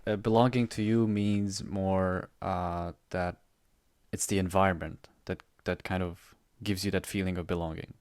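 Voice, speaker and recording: A young man hosting a casual podcast interview, halting and restarting his words with many fillers. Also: low voice, steady tone, darkish tone, no echo, clean studio sound. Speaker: podcast host, university student. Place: plain indoor room.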